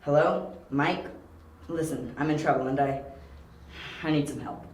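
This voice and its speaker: A man's voice: a little high, unhurried, distant mic, close to an everyday voice. A man acting a resourceful, wry young detective in an amateur audio drama, speaking tersely. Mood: pleading, uneasy. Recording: echoey room, off-mic, faint noise.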